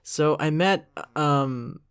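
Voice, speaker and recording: A man's voice: on the high side, extremely slow, fairly bright tone, smooth. A young man giving a casual commentary track in a rambling, self-critical way, often trailing off mid-thought. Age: young adult. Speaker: creator of an animated parody series.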